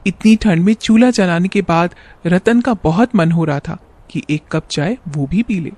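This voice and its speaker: A woman's voice: on the low side, normal speed, darkish tone, dry recording. A woman narrating a scripted moral story as a storyteller.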